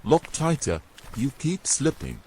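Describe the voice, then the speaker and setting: A man's voice: medium pitch, huge pitch swings, a little slow, dark tone, a little hiss or hum, low-quality recording. A man playing a grumbling, exasperated adventurer in a fantasy audio drama, haughty and formal in his phrasing. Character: grumbling, exasperated young adventurer carrying a mermaid.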